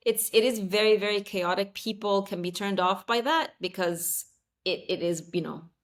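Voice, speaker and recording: A woman's voice: medium pitch, normal speed. A woman in her thirties, raised in Saudi Arabia with Arabic as her first language, talking casually as a podcast guest, with many fillers, restarts and easy laughs. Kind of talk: casual interview chat.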